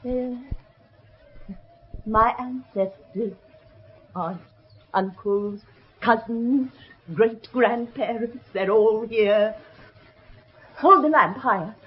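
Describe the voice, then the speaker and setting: An actress playing a haunted, fragile, fearful widowed daughter in a radio horror drama, eerie and dreamy in manner. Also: female voice, on the high side, very slow, long pauses, husky, heavily acted. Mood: eerie reverence. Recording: dull old radio recording, faint noise.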